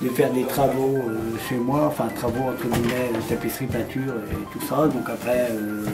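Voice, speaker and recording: Halting volunteer man in echoey room. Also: mid-range voice, level tone, over loud music. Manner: halting, many fillers. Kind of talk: casual interview.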